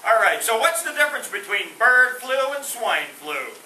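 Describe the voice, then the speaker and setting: Male stand-up comic, high voice, corny puns, recorded from across a roomy hall with background noise. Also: sing-song, normal speed, sharp tone. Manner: corny puns with a sheepish trailing delivery. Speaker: stand-up comedian. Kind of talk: stand-up one-liners. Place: veterans home hall. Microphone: no microphone, far from the recorder. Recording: roomy hall from a distance, noisy.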